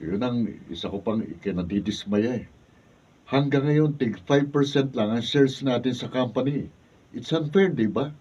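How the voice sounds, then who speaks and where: An actor playing an envious, resentful adult son, sulky and complaining, in a radio drama. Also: male voice, mid-range voice, normal speed, darkish tone, slightly rough, recorded from a distance, lo-fi sound, lightly acted. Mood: bitter.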